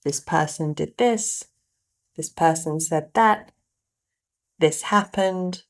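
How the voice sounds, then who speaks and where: A woman guiding a meditation, giving gentle instructions in short phrases with pauses between them. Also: low voice. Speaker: meditation guide. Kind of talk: guided meditation narration.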